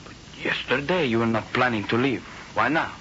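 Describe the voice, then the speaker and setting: A man's voice: mid-range voice, moderate pace, sharp tone, lightly acted. An actor playing a naive, hot-headed young Spanish man in love, earnest and melodramatic in a 1970s radio drama. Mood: hurt, jealous. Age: young adult. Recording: muffled 1970s radio broadcast, faint noise.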